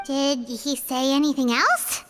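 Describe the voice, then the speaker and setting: A woman voicing a tiny, childlike fairy companion in an anime game, chatty, excitable and easily spooked. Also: sits high, unhurried, soft background music. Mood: uneasy. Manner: expressive, speaks in exclamations, refers to self by name.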